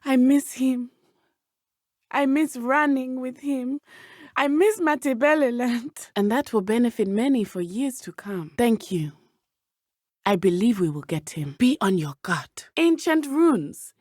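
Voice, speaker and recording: A woman's voice: a little high, expressive, unhurried, a little mellow, no echo, clean studio sound. A woman playing a brave, earnest teenage witch in a video game, with a southern African accent and formal, precise phrasing. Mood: sorrowful, reflective, then composed, determined. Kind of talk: video game character dialogue.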